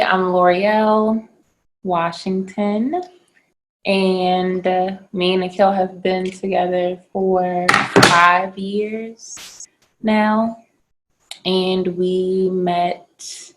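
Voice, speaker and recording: A woman introducing herself on a discussion panel, calm and unhurried. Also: mid-range voice, rough recording. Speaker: panel guest. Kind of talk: casual introduction.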